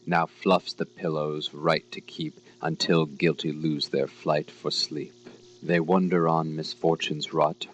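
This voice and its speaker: A man narrating a scripted horror story in a measured, dramatic, literary manner. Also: rumbling low. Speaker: story reader.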